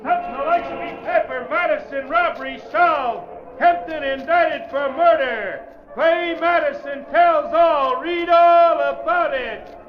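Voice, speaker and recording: An actor playing a young newsboy hawking papers with shouted, drawn-out headline calls in an old radio drama. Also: male voice, very high voice. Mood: urgent hawking. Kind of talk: old-time radio drama street cry. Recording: dull old radio recording.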